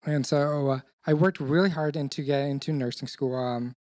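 A man's voice: a little high, steady tone, normal speed, a little mellow, no echo, clean studio sound. A young man whose first language is Vietnamese, speaking English as he recounts his family story openly and emotionally. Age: young adult. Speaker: interviewee. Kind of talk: personal testimony.

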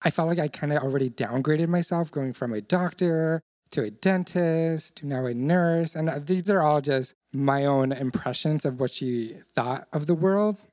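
A man's voice: on the high side, normal speed, hardly any pauses, a little mellow, dry recording. A young man whose first language is Vietnamese, speaking English as he recounts his family story openly and emotionally. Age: young adult. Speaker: interviewee. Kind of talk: personal testimony.

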